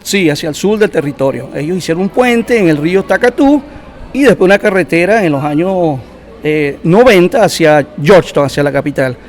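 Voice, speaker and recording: Halting higher-voiced man from the Essequibo. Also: animated. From Rupununi region of the Essequibo, Guyana. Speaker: guest. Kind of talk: interview answers.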